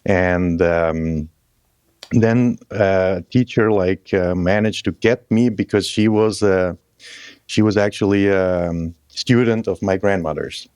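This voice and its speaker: A man in his thirties from Croatia, a guest musician chatting casually in a halting, loose way with restarts. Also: deep voice, darkish tone, dry recording. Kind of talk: casual interview chat.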